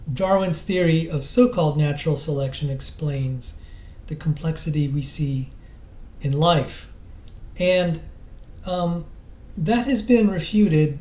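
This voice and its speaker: A man giving an informal lecture as a presenter, speaking in a halting way and often interrupting himself. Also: fairly high pitch, darkish tone. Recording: roomy, echoey sound.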